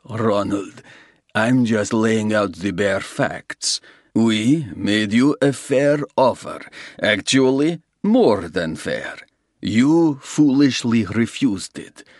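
A man voicing a smug, menacing Russian gangster who talks slowly and mocks his rival. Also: lowish voice, no room sound, clean studio sound. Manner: slow, gloating, mocking. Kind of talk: audiobook character reading.

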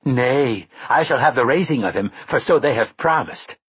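A man voicing a proud, desperate woman spirit who is expecting a child, speaking in shrill, old-fashioned defiance. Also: expressive, normal speed, fairly bright tone, breathy edge, dry recording. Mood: defiant.

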